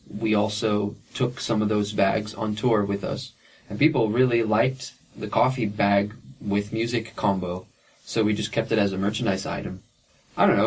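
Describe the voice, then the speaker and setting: A man's voice: fairly low pitch, breathy edge, distant mic, poor audio. A young man and independent musician answering interview questions frankly, with plenty of fillers and restarts.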